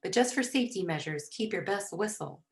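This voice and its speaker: A woman's voice: medium pitch, animated, brisk, close to an everyday voice. A woman voicing a concerned, practical father in a storybook, speaking firmly and protectively. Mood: firm instruction. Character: concerned, practical father leading a storm search. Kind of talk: acted audiobook dialogue.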